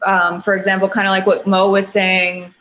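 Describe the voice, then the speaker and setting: A woman's voice: medium pitch, completely flat delivery, moderate pace, a bit bright. A woman actor chatting casually on a panel in a light, easygoing and playful way.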